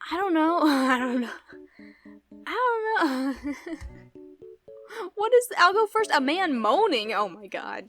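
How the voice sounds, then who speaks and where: A woman's voice: on the high side, fairly bright tone. Giggly young woman rambling through a reaction vlog. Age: 20s. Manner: giggly, rambling, self-deprecating. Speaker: YouTuber.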